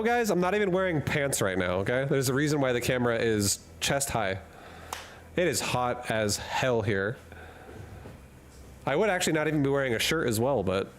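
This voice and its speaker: Male game streamer, mid voice, rambling casual banter with chat, desk mic with slight background noise. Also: medium pitch. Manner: rambling and jokey, reads chat aloud and laughs often. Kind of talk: casual live-stream chat while gaming. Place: hot room at home.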